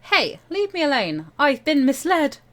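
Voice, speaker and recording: Woman playing an indignant high-pitched teenage student girl. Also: very animated, measured pace, a bit bright, heavily acted. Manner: flustered, dramatic.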